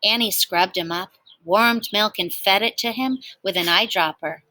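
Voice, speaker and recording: A woman reading a children's storybook aloud in an expressive, animated way, like a teacher talking to young listeners. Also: mid-range voice, moderate pace, dry recording, low-quality recording.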